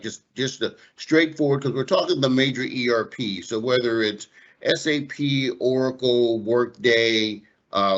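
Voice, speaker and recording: A man's voice: mid-range voice, fairly bright tone. A man casually explaining technical details as a specialist on an online call, plain-spoken and reassuring. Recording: slightly dull online-meeting audio.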